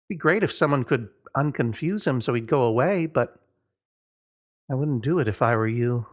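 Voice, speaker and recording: A man's voice: mid-range voice, animated, normal speed, natural voice. A man voicing a glum young storybook boy who gives dry, matter-of-fact, slyly goading warnings.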